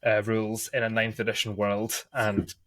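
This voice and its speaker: A man's voice: lowish voice, flat delivery, normal speed, fairly bright tone. A man chatting on a podcast, hesitant with many ums, and self-mocking about his losses. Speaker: podcast guest. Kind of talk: casual chat.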